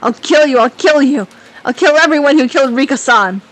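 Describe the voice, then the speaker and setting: A woman's voice: high-pitched, brisk, lightly acted, poor audio. A woman voicing a grief-crazed teenage girl, shrieking and sobbing in repetitions. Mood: frantic rage.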